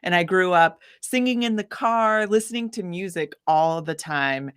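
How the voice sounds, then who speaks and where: A woman musician telling her story casually in an interview, with an animated, informal manner. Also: on the low side, moderate pace, clean tone, dry recording, clean studio sound. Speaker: guest musician, singer-songwriter.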